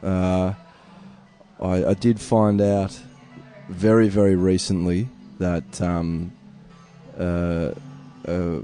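A man's voice: deep voice, level tone, drawn-out words, warm tone, soft background music. An Australian man with an Australian accent, chatting as an interview guest in a reflective way, thinking aloud. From Adelaide, Australia. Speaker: interview guest, touring musician. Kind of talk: casual interview chat.

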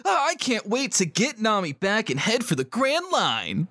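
A man's voice: high-pitched, huge pitch swings, normal speed, pushed and bright, voice pushed up, exaggerated voice. A man playing a cheerful, naive young pirate captain in an amateur manga reading, boyish and exuberant. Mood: eager.

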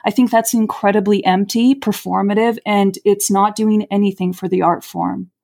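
A woman's voice: mid-range voice, fairly even intonation, moderate pace, gravelly, darkish tone. A woman playwright as a podcast guest, talking in an earnest, reflective way and repeating words for emphasis. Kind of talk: podcast interview.

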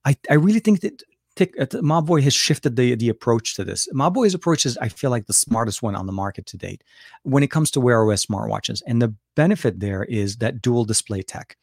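A man's voice: medium pitch, lively intonation, a little fast, vocal fry, darkish tone, no echo, studio quality. A middle-aged man from Lebanon hosting a casual live Q&A, talking with many fillers and restarts and answering viewers' questions. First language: Arabic. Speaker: livestream host.

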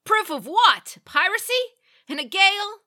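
A gruff sea captain barks orders and sneers at anyone who slows his crew, as a woman puts him on for a storybook reading. His voice rides high and loud above the narration, clipped and scornful. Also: very animated, full character voice. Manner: barking, disdainful orders. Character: gruff male ship captain, scornful and dutiful.

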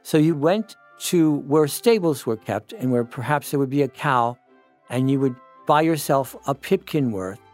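Animated older American man, explaining. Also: on the high side. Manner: enthusiastic, explanatory, emphatic. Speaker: retired priest, storyteller. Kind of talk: informal personal commentary to listeners.

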